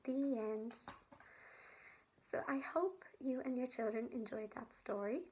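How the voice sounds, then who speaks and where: A woman storyteller with a high voice, speaking slowly to young children. She keeps her phrases simple and leaves pauses for the listeners. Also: fairly even intonation, darkish tone, dull audio.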